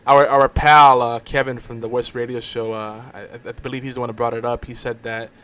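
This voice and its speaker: A man's voice: mid-range voice, flat delivery, brisk, fairly bright tone, slight background noise. A man co-hosting a casual talk show, bantering about films in an easygoing, agreeable, conversational way. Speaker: co-host of an audio drama talk show.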